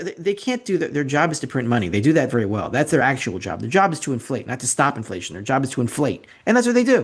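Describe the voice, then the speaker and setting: Sardonic man giving financial commentary. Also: mid-range voice. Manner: opinionated, sardonic, repeats phrases for emphasis. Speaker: financial commentator and show host. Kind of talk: informal slide commentary.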